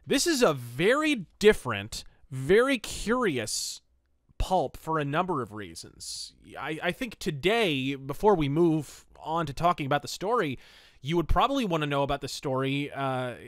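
A man's voice: on the high side, big pitch swings, moderate pace, no room sound, studio quality. A man hosting a solo review podcast, with a wordy, articulate and flourished way of talking. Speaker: podcast host.

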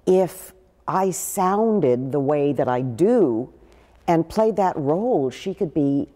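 An older actress telling stories in a seated interview, with a deep, big voice and lively gestures. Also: female voice, slow pace, in a large hall. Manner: expressive, gestures a lot while talking. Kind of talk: animated interview storytelling. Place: living room with a pool table, indoors.

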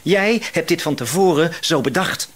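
Radio drama role: paranoid male shopkeeper, suspicious and menacing, hesitant but insistent, with wide pitch swings. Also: lively intonation, moderate pace. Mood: accusing. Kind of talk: Dutch radio drama acting.

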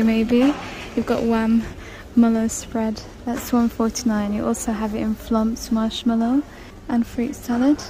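A woman's voice: fairly high pitch, dark tone, slight background noise, rough recording. Chatty woman pricing shop shelves. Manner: chatty, reads out prices. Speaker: shopping vlogger. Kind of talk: casual shop-with-me narration. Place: large indoor discount store. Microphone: handheld phone camera.

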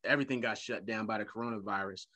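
A middle-aged man speaks from a room at home over a video call. His voice has a bright, ringing edge. He is animated and playful, repeating words as he goes. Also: fairly low pitch, quick pace. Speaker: guest. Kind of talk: casual video-call interview. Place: home room with leather couch.

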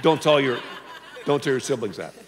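Older male pastor, lively sermon voice. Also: normal speed, a little hiss or hum. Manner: animated, playful, drops to a low confiding tone. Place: large church stage before an audience. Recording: long reverb of a big hall.

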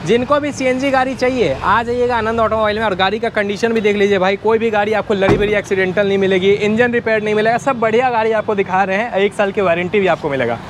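A man's voice: sits high, fast, few pauses. A man, hosting an enthusiastic walkthrough vlog of a used-car lot and talking straight to his viewers. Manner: enthusiastic, addresses viewers as friends and brothers. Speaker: vlogger host. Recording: outdoor street noise.

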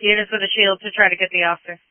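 A man's voice: high-pitched, little pitch movement, racing, clean tone, no room sound. A man working as a police dispatcher, tersely relaying reports back over the radio. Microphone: radio transmission. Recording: tinny band-limited police radio.

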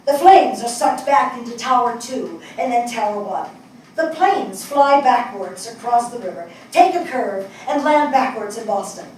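An older woman acting a grieving, resolute New Yorker in a dramatic stage reading, speaking in emphatic, deliberate short phrases. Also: fairly high pitch, lively intonation, a little mellow. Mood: insistent. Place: indoor theatre stage. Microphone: distant camera. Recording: echoey stage, distant mic, faint noise.

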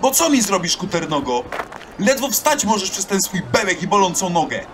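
A man's voice: high voice, sing-song, normal speed, noisy background. An actor playing a bitter, argumentative young townsman in an audio drama. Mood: scornful. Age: young adult.

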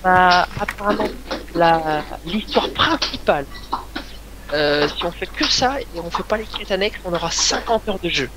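A man's voice: high voice, expressive, moderate pace, heavy background noise. A French man hosting a casual fan livestream chat, talking with frequent hesitations and fillers. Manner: hesitant, many "euh" fillers. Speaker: podcast host.

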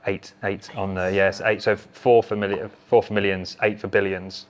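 A man's voice: lowish voice, moderate pace. A man in his thirties, a brewer, chatting casually at a table in a brewery taproom, rambling a little with restarts. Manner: relaxed, rambling, many restarts.

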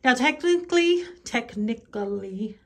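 A woman's voice: on the high side, animated, unhurried. A woman talking casually in a home vlog, rambling and correcting herself in a conversational way as she goes. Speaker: home and cleaning vlogger. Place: rooms inside a house, bedroom and bathroom.

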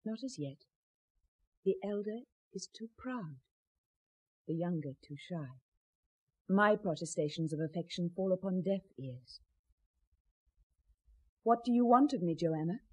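Casting: a proud, grieving medieval lady for radio drama, dark mellow voice, heavily breathy. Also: lowish voice, animated, unhurried, long pauses, no echo, low-quality recording. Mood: defiant, proud. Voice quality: soft, breathy. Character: sorrowful, proud medieval noblewoman fleeing her husband. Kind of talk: 1970s radio drama acting.

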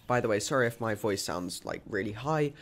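A man with a sore throat giving a quick, upbeat voice-over guide to a video game. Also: lowish voice, lively intonation. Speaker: gaming YouTuber.

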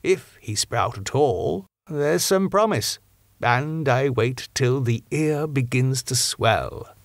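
A man voicing a grave, kindly old doctor in an audiobook, speaking slowly in quaint broken grammar. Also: mid-range voice, expressive, no room sound. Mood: teacherly, gentle. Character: old Dutch doctor, grave, kindly, teacherly, parable-loving.

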